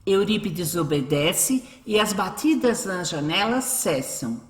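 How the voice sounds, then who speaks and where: A woman's voice: lowish voice, animated, slow. A Brazilian woman narrating a scripted radio drama. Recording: echoey, faint music behind.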